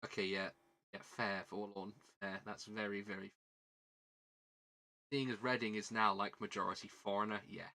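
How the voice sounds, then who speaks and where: A man's voice: fairly low pitch, flat delivery, moderate pace, pauses often, pushed and bright. A man with a southern British English accent chatting casually as a livestream host, rambling and self-deprecating, often repeating his words. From southern England. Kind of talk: casual livestream chat while building a model kit.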